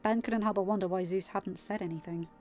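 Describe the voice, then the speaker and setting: A woman's voice: medium pitch, fairly even intonation, a little fast. A woman reading a story aloud as narrator and host, sometimes chatting casually to her listeners. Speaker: podfic reader and host. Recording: slightly muffled, dry, music underneath. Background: quiet music.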